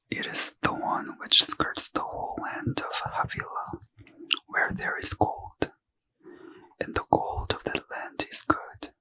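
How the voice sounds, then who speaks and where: A man reading scripture as a bedtime ASMR story in a breathy, hushed, careful whisper, close to the mic. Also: dry recording, poor audio. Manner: slow, careful, hushed delivery. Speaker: ASMR reader.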